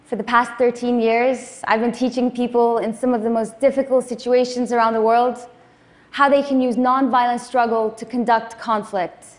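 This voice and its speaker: A woman in her thirties, born in Afghanistan, giving a prepared public talk in a measured, earnest way. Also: on the high side, level tone. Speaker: speaker.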